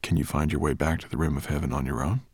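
A man voicing a calm, noble male dragon, gentle and resolute, in a storybook. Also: rumbling low, fast talker, slightly rough, no echo, studio quality, pitched below the performer's own voice.

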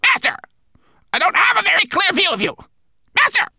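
A man voicing an agitated raven servant, squawking and complaining in a shrill voice. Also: big pitch swings, brisk, creaky, sharp tone, no echo, studio quality, heavily acted. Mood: agitated, insistent. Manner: agitated, repetitive exclamations. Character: frantic male raven servant, squawking and complaining to his master.

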